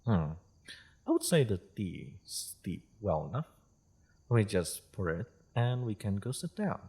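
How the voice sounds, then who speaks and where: A man playing a courteous, wry half-dragon merchant in a fantasy audio roleplay, speaking in a formal, measured, amused manner. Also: lowish voice, very animated, mellow, a little hiss or hum. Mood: wry, explanatory. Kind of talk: scripted fantasy audio roleplay addressed to listener.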